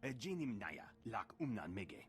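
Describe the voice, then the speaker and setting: A man's voice: fairly low pitch, sing-song, normal speed. An actor voicing a male alien creature that declaims in an invented tongue for a video game. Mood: declaiming.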